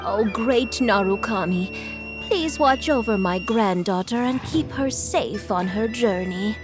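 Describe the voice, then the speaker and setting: A woman voicing a kindly, devout elderly grandmother in a video game, speaking politely and gently with gratitude. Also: medium pitch, over music, natural voice. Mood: reverent, prayerful. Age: older. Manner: polite, gentle, unhurried, reverent.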